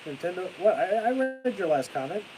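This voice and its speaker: A man's voice: sits high, fairly quick. Young guy streaming, stuffy nose, voice going, rants then mumbles, hissy mic. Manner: rambling, excitable rants that trail off into tired mumbling. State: stuffy-nosed, losing his voice. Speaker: live streamer. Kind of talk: casual live stream chat.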